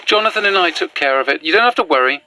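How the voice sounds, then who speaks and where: An actress playing an exhausted, shaken young archivist in a horror audio drama, hesitant and stubborn. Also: female voice, fairly low pitch, animated, fast talker, pushed and bright, no echo. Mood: dry, guarded. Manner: hesitant, stammering, sighing. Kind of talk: found-footage horror audio drama acting.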